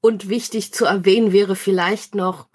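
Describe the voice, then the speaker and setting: A woman's voice: mid-range voice, fairly even intonation, moderate pace, a little mellow, breathy edge. Friendly woman with a mid-range voice. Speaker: interview guest, online community organizer. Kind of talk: informal explanatory interview answers.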